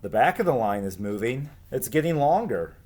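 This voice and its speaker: A man voicing a boisterous, joke-loving schoolboy in a storybook, with a pushy, excitable manner. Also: mid-range voice, normal speed, slight background noise, close to an everyday voice. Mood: cheeky.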